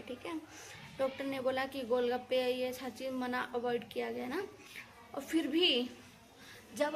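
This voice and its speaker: A woman reaction vlogger speaking casually to her viewers, indignant and scolding, venting in repetitive colloquial phrases. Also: high-pitched. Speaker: reaction video creator. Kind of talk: casual opinionated rant to viewers.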